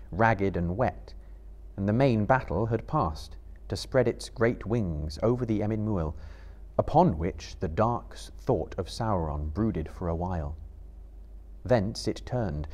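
A man in his thirties giving a live dramatic reading of literary narration in a measured, theatrical manner. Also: fairly low pitch, darkish tone. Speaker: storyteller, reader. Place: indoor small stage before live audience.

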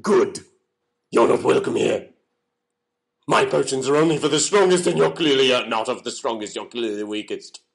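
A man's voice: medium pitch, moderate pace, vocal fry, lightly acted. A man voicing a haughty potion seller in a comic cartoon sketch, pompous and dismissive. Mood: scornful. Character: haughty, stubborn potion seller refusing a customer.

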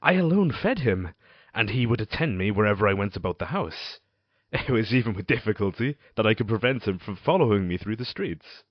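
Man acting tormented husky Gothic confessor, swooping delivery. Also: on the low side, expressive. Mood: fond, wistful. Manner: theatrical, deliberate, formal archaic phrasing. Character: tormented condemned man confessing his crimes, intense and melancholy. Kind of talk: dramatic Gothic audio drama monologue. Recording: dull, roomy amateur recording.

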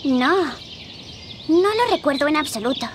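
An innocent young princess in an animated game. The voice is extremely high and lively, polite and wondering, with clear ambient noise behind it. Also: female voice, measured pace. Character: innocent, wide-eyed little princess girl. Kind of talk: animated game character dialogue.

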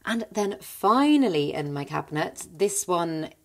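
A woman's voice: on the low side, lively intonation, a little slow. Chatty woman touring her houseplants. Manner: chatty, enthusiastic, rambling with restarts. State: voice slightly croaky. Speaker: plant vlogger. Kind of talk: casual plant collection tour. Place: indoor plant cabinet at home.

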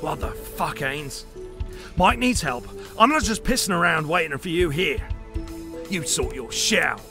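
A man's voice: a little high, expressive, a little slow, gravelly, over music. An actor playing an agitated, impatient, foul-mouthed man in pain in an audio drama. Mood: angry, impatient.

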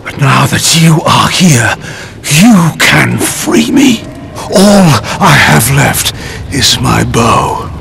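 Weary tormented prisoner for a game; dragging, creaky, halting pleas with big pitch swings. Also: male voice, expressive, fairly bright tone, a little hiss or hum, exaggerated voice. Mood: pleading. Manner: strained, pleading, halting. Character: suffering tortured male prisoner, weary and pleading. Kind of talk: video game cutscene acting.

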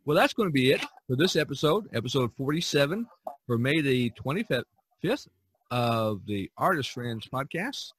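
A man hosting a podcast, chatting casually and haltingly about his work as an artist. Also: mid-range voice, lively intonation, a bit bright, breathy edge.

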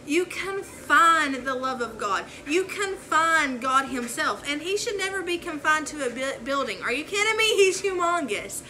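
A woman's voice: high-pitched, a little hiss or hum. A young woman with a Southern accent, chatting earnestly about faith while folding laundry at home, talking with her hands. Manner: earnest, preachy and animated with hand gestures. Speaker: vlogger and preacher. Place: living room at home. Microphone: camera on the floor in front of her.

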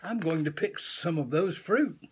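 Older man voicing an eager husky little bear cub. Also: on the high side, lively intonation, unhurried.

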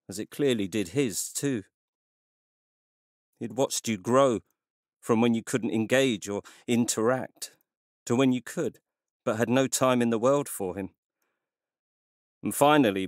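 A man narrating a literary story in a measured, scripted way. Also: mid-range voice, no room sound, studio quality.

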